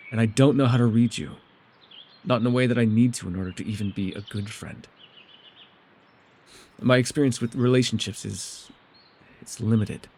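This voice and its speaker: Man playing a guarded, halting ancient elf recluse. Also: medium pitch, dark tone, slightly rough, close to an everyday voice. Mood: uncertain, remorseful. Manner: halting, stammering, earnest. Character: reclusive centuries-old male elf, guarded, awkward, self-doubting. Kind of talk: fantasy ASMR audio roleplay.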